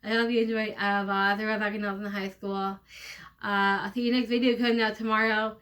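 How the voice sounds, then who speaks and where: A woman from the United States reading her play and stage directions informally, in a halting, self-correcting way. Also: mid-range voice, level tone, fairly bright tone, distant mic. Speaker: writer and reader of own play.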